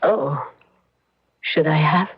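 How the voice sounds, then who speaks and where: A woman's voice: low voice, takes it slowly, slightly rough, full character voice. Sly, seductive mystery woman, muffled radio. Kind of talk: old-time radio drama acting. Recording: dull, noisy old radio recording.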